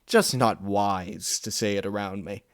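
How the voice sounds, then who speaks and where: A man's voice: on the low side, steady tone, measured pace, dry recording, clean studio sound, close to an everyday voice. A man as a frightened pleading stallion. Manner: pleading, trembling. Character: frightened stallion fighting his inner beast.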